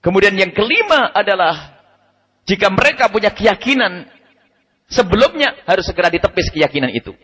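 Husky preacher in an echoing hall. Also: male voice, high voice, normal speed, pauses often. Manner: lively, emphatic, repeats key points, frequent chuckles. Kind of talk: religious study lecture. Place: study gathering hall. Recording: radio broadcast with long hall reverb.

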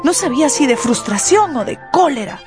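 A woman's voice: high-pitched, very animated, normal speed, music in the background. A woman narrator reading scripted storytelling for a radio drama.

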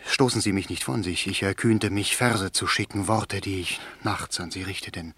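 An actor in a German radio play voicing a melancholy, lovesick young poet in a fervent, declamatory manner. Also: male voice, low-pitched, moderate pace, a bit bright, breathy edge, no echo, exaggerated voice. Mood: fervent, adoring.

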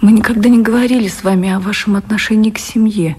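An actress in a Russian radio drama playing a tender, wistful woman, speaking gently and intimately. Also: mid-range voice, fairly even intonation, fast talker, slightly rough, natural voice. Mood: wistful, earnest. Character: tender, wistful, devoted woman, admiral's beloved.

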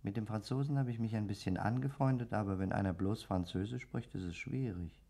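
Polite ghost, low pitch, chatty, sardonic, radio play delivery. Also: male voice, brisk, vocal fry, dark tone. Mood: courteous, inviting. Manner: courteous, chatty, mocking. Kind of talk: German radio play acting.

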